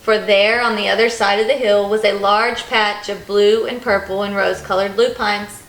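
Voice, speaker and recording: A woman reading a children's picture book aloud as an expressive storyteller. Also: medium pitch, moderate pace. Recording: roomy echo, faint music behind.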